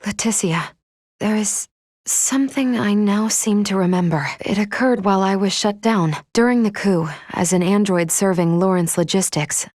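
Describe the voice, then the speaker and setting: An actress playing a polite, composed female android from a video game, gently caring like an elder sister, with formal, measured, precise phrasing. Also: mid-range voice, no echo, studio quality, natural voice. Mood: reflective, moved. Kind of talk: video game character acting.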